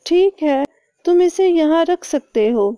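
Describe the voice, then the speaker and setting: A woman voicing a small, cautious bird queen in a cartoon, speaking with measured authority. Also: extremely high pitch, expressive, dark tone, no room sound, voice pushed up, full character voice. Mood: cautious, authoritative.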